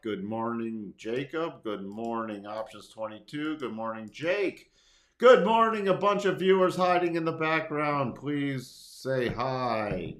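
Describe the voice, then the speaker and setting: A man's voice: medium pitch, a bit bright, roomy sound. A man hosting a casual live market commentary stream, conversational and repeating key phrases for emphasis. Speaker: livestream host, market analyst.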